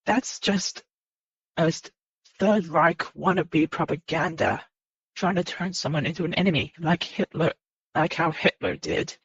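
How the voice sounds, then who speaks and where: A person speaking unscripted to viewers in a personal confession, earnest and halting, often repeating phrases and starting over. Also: mid-range voice, a bit bright, slightly rough. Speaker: video creator. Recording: muffled lo-fi mic in echoey room.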